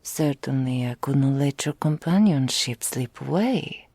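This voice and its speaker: A woman voicing a possessive, adoring dragon in human form for an intimate ASMR roleplay, with regal, old-fashioned phrasing and a breathy, often whispered delivery. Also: a bass voice, lively intonation, mellow, dry recording, full character voice. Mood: fond reminiscing. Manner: slow, formal archaic phrasing, regal and doting. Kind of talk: intimate ASMR roleplay, scripted character acting. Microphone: close studio mic, dry.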